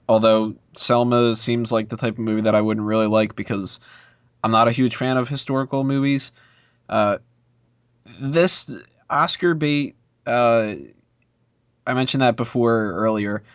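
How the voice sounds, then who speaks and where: A man of average pitch talks through his film opinions casually, wandering into asides and qualifications. The recording is dry, with a dull lo-fi podcast sound. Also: a little slow, smooth, no echo. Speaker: podcast panelist.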